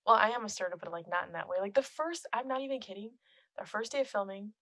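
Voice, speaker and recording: Soft-voiced young woman, dry close mic. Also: mid-range voice, fast talker, dry recording. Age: young adult. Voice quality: soft, quiet voice. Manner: chatty, laughs often, heavy use of "like". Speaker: guest, film composer and musician. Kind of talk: casual podcast chat. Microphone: close podcast microphone.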